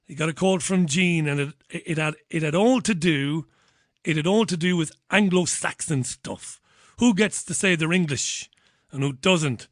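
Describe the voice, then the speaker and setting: A man's voice: fairly high pitch, moderate pace, dry recording, studio quality. A man hosting a talk show, giving casual opinionated commentary in a sardonic, digressive way.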